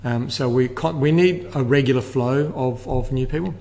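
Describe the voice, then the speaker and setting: An older man giving measured, explanatory answers as an interview guest, repeating words as he thinks. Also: medium pitch, gravelly, darkish tone. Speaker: interview guest, air traffic control manager. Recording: long reverberant room echo.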